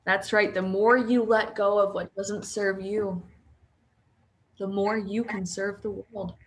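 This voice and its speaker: Slow, soft-spoken woman guiding a meditation. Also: medium pitch, little pitch movement. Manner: slow, soothing and soft-spoken, often near a whisper. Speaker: meditation guide. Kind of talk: guided sleep meditation.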